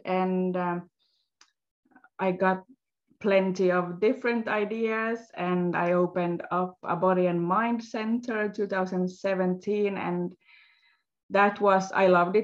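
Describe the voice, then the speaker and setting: A woman speaking slowly and haltingly, pausing to search for her words. Her lowish voice has a gravelly fry to it. The audio sounds dull and lo-fi. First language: Swedish. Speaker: guest, health coach. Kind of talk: interview storytelling.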